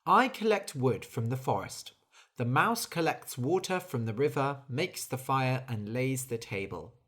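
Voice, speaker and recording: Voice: medium pitch, expressive, measured pace, a bit bright. A reader doing a weary hardworking bird. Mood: explaining. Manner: earnest, complaining. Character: hardworking female bird, tired and fed up.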